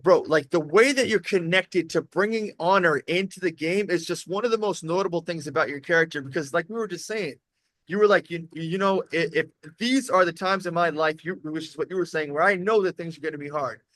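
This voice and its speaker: Male host, high-pitched and forceful, effusive slangy hype delivery, casual interview, close dry sound. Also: brisk, dry recording. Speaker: livestream host.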